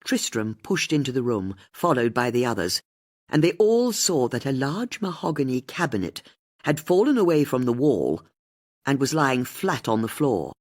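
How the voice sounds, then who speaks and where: Husky, expressive woman narrating a mystery. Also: extremely low pitch, moderate pace. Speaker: audiobook narrator.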